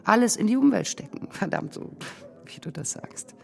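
An actress in a radio drama playing a wealthy, wounded, vengeful middle-aged woman, intense and halting, with a creaky voice. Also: medium pitch, animated. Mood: desperate, pleading. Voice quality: creaky voice, often breaking into whispers.

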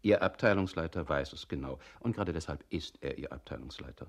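An actor playing a didactic, emphatic commentator in a scripted radio play. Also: male voice, extremely low pitch, fairly quick, vocal fry, heavily acted. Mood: didactic reasoning. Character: emphatic reasoning male commentator, coolly explaining bureaucracy.

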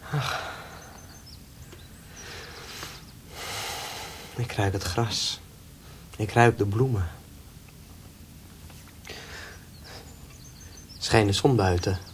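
An actor playing a frail, tender young man recovering from illness, breathy and halting, in a radio drama. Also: fairly low pitch, a little hiss or hum, natural voice. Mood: frail, hopeful. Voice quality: breathy, often whispering. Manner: halting, slow, intimate. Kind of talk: Dutch radio drama acting.

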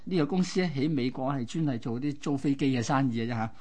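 A man's voice: mid-range voice, quick pace, mellow. A man with a Hong Kong Cantonese accent co-hosts a radio chat in a mid-range voice. He explains casually, laying out details piece by piece with plenty of colloquial particles. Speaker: radio co-host.

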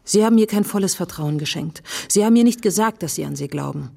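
Radio drama policewoman: soft dark tone, earnest and insistent, intimate deliberate questioning. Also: on the low side, animated, gravelly. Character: earnest, probing policewoman challenging a wary man. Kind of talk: German radio drama acting.

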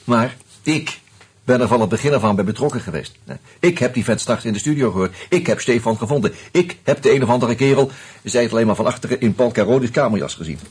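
An actor playing an earnest, troubled man turned amateur sleuth in a Dutch radio play, insistent and halting as he reasons aloud. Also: medium pitch, lively intonation, a little mellow, husky. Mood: insistent, agitated. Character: earnest, agitated amateur sleuth, a murdered man's loyal friend.

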